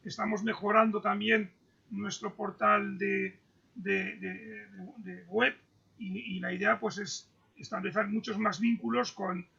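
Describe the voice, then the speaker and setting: A man's voice: sits high, flat delivery, vocal fry, bright, forceful tone, slightly rough. A man moderating an online Q&A, reading out messages and stumbling over his words on a video call. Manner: halting, stumbles and repeats words. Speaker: moderator reading audience questions. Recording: dull lo-fi video call audio.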